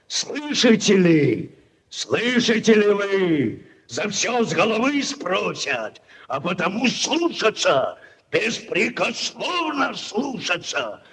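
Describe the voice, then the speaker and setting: An actor playing a pompous, gruff old village headman in a 1940s radio play, blustering and repeating himself. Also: high-pitched, big pitch swings, slow pace, a bit bright, full character voice. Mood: blustering, stern. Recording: vintage 1940s radio recording.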